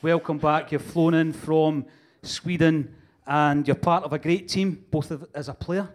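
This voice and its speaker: A man's voice: fairly high pitch, steady tone, unhurried. A man hosting a live stage interview, joking with the crowd into a handheld mic. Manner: jokey, upbeat crowd-working. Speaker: host. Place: stage before a live audience.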